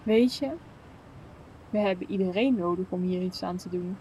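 A woman's voice: on the low side, moderate pace, dark tone, natural voice, low-quality recording. A young woman playing an idealistic, chatty sister in naturalistic, conversational radio play dialogue. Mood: earnest. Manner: conversational, earnest. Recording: faint station ambience noise.

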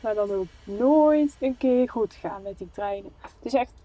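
A woman's voice: fairly high pitch, measured pace, warm tone, rough recording. A young woman playing an idealistic, chatty sister in naturalistic, conversational radio play dialogue. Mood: annoyed. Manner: conversational, earnest. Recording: faint station ambience noise.

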